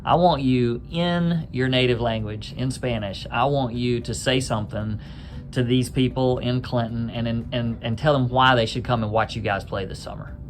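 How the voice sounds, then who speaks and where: A man's voice: mid-range voice, normal speed, a little hiss or hum. A man interviewing soccer players, asking friendly, upbeat questions in a casual way.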